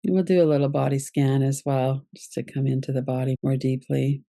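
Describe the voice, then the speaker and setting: A woman teaching yoga nidra, leading a guided relaxation meditation in a calm, gentle, unhurried way. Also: extremely low pitch, vocal fry, no room sound, studio quality.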